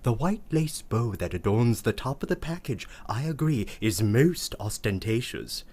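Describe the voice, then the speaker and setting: An actor playing a prissy, verbose uncle with a pompous, ornate manner in a comic radio play monologue. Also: male voice, mid-range voice, sing-song, measured pace, a little mellow. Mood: proud, fussy. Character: prissy, verbose, scandalized uncle telling family tales.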